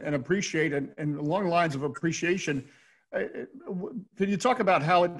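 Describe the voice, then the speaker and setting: A middle-aged American man with a fairly high voice hosts an interview over a video call. He speaks like a radio announcer, though he often doubles back on his words. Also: slightly rough. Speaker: host, radio play-by-play announcer. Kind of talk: hosted Q&A interview. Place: indoor room at home, on a video call.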